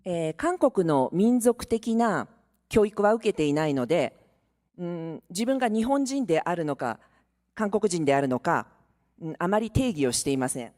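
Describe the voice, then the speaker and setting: A woman from Japan asking questions from the floor at a seminar, earnest and lively, in a reverberant hall. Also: on the low side, fast talker. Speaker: audience member asking questions.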